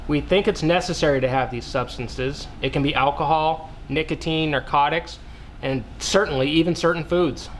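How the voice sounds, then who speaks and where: A man's voice: on the high side, moderate pace, slight background noise, lightly acted. A man playing an earnest researcher, speaking in a didactic way as in a scripted interview drama. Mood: earnest, instructive. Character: earnest widowed male researcher preaching plant-based eating. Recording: music playing behind the voice.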